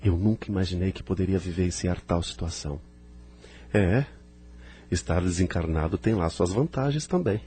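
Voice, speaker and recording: Man playing deep, mellow, curious spirit companion. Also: normal speed, faint music behind, close to an everyday voice. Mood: amused wonder. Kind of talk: spiritist audio drama.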